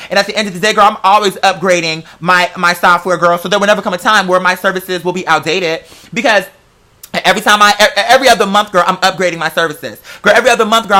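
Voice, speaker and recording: Male vlogger, bright forceful high voice, casual storyteller, slangy, profane and theatrical.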